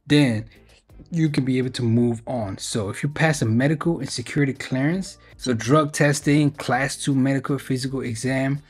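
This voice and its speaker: Husky-voiced man explaining job openings. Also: mid-range voice. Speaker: video host sharing job openings. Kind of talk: informal explainer commentary reading from a web page.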